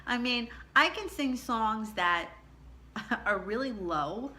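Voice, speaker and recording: A middle-aged woman with a low contralto voice telling a candid personal story in a chatty way, laughing often. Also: lively intonation, slow, sharp tone. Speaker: vlogger.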